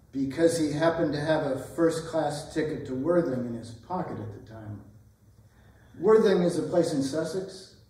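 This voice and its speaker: Man doing a polite nervous gentleman suitor in a stage comedy, earnest, a bit high voiced, far from the mic in a boomy hall. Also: close to an everyday voice. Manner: polite, formal, apologetic. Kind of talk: theatrical readers' theatre performance of a period comedy. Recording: echoey hall, distant microphone.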